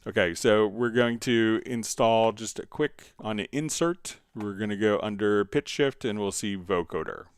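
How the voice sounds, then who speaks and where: A man with a fairly low, smooth and resonant voice walks viewers through software on a live stream. He talks casually and thinks aloud, pausing hesitantly as he demonstrates each step. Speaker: software tutorial host.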